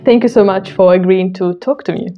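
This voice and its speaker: A woman hosting a podcast interview who speaks gently and often murmurs soft agreement. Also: medium pitch, a little fast, dull audio, faint music behind. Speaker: podcast host.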